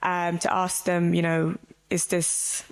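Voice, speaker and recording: A woman with a fairly low voice, speaking as an author on a festival panel. She was born in Somalia and Somali is her first language. She talks in a chatty way and laughs often. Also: monotone, takes it slowly, rough recording. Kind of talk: panel discussion.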